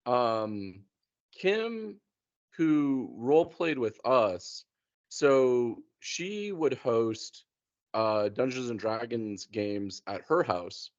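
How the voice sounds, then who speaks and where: A man chatting casually and a bit haltingly, in informal language, on a dull lo-fi recording. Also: medium pitch, expressive, no echo. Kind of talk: casual chat.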